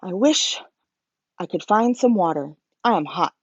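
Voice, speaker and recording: A woman's voice: on the low side, animated, measured pace, slightly rough, dry recording, muffled recording. A woman voicing a friendly, curious young male seal in a children's storybook, polite and eager.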